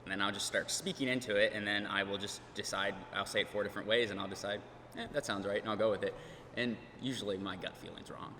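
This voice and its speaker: A man's voice: on the low side, fairly even intonation, fast, bright, forceful tone. A man and podcast host speaking casually on a conference panel, chatty and self-deprecating. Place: large conference hall. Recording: hall reverb, faint room noise.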